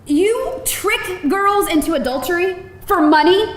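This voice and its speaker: A woman's voice: extremely high pitch, lively intonation, a little slow. A woman playing a skeptical, wry young actress in a live screenplay table read. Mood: skeptical, sardonic. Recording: roomy live venue, faint noise.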